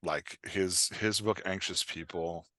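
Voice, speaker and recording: A man with a bright, forceful voice, recorded close and dry. He talks like an animated podcast guest explaining the craft of writing, emphatic and joking, slipping into imagined little exchanges. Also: low voice, takes it slowly, dry recording. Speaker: guest expert on writing fiction dialogue. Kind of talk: animated casual podcast chat.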